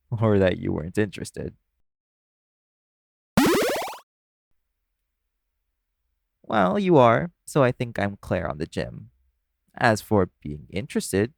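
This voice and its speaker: A man's voice: on the low side, creaky, dry recording, exaggerated voice. A man playing a flirtatious, easygoing nightclub coworker in a casual, teasing audio roleplay. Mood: playful, flirtatious. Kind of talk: audio roleplay acting addressed to the listener.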